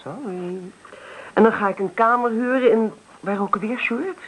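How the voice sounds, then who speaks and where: Confident young Canadian student. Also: female voice, fairly high pitch, normal speed, a bit bright, slightly rough, low-quality recording. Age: young adult. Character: forthright young Canadian student of Dutch descent. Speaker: actor. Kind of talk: Dutch radio play acting. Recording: radio drama recording.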